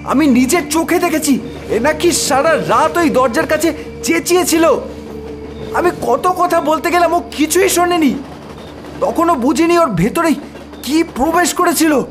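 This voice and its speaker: A man's voice: very high-pitched, unhurried, music in the background. An actor playing an alarmed young man in a horror audio drama, with urgent, dramatic delivery. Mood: shaken regret.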